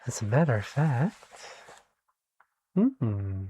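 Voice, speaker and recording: A soft-spoken performer playing a gentle, kindly animal doctor in an ASMR roleplay, speaking soothingly and encouragingly with deliberate pauses. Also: medium pitch, sing-song, slightly rough.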